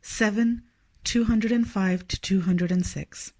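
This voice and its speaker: A woman reading a scripted scripture study aloud in a measured, formal manner. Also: mid-range voice, darkish tone. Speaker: reader of a religious study text.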